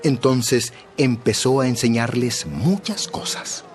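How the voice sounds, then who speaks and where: A man narrating a dramatized Bible story in a measured, expressive storytelling style. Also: mid-range voice, faint music behind.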